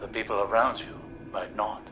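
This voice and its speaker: An actor playing a grave older scholar and mentor in a video game, speaking in a measured, reflective, admonishing way. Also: male voice, on the low side, fairly even intonation, background noise, natural voice. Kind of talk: scripted video game dialogue. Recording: music behind the voice.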